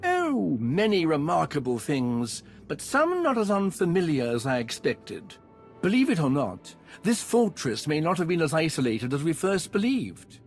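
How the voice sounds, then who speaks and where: A man's voice: on the high side, wildly swooping pitch, moderate pace. A man playing an enthusiastic, courteous archaeologist in a video game, speaking in a formal, effusive, wordy way. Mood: fascinated. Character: enthusiastic, courteous male archaeologist expedition leader, scholarly and eager. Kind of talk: video game dialogue acting. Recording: music behind the voice.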